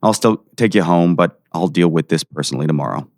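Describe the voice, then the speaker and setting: A man's voice: deep voice, fairly quick, a little mellow, dry recording, clean studio sound. A man playing a weary small-town sheriff in an audio drama, folksy, patronizing and dismissive. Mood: grumbling. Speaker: voice actor.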